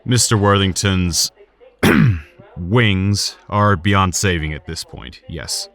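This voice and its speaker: An actor playing a serious, cautious hospital surgeon in an audio drama, speaking in a measured, formal way. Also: male voice, sits low, a bit bright, full character voice. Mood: grave, measured.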